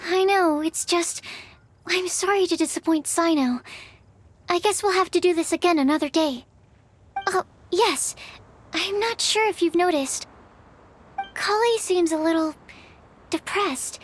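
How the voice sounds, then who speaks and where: An actress playing a gentle, earnest young woman researcher in a video game, sincere, soft-hearted and a little hesitant. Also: extremely high pitch, a little slow, long pauses, slight background noise. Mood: apologetic, concerned. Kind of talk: video game character dialogue.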